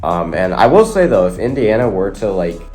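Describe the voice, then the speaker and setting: A man's voice: on the low side, sing-song, moderate pace, darkish tone. A young man with a cold, sounding nasal, casually chatting through sports predictions and rambling to his viewers. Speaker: sports video host. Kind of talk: casual predictions talk to camera. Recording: faint guitar music behind.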